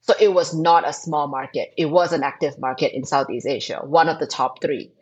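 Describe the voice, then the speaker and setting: Husky woman from Thailand, guest. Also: low voice, a little fast. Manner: animated, emphatic explainer. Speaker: startup co-founder guest. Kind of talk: interview answers.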